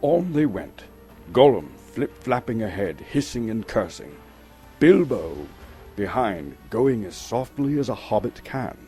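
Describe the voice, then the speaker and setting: A man giving a dramatic, suspenseful reading of a story, theatrical and full of deliberate pauses. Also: mid-range voice, very animated, dark tone. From Canada. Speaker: storyteller, actor reading an audiobook chapter. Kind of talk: dramatic scripted narration. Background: music under the voice.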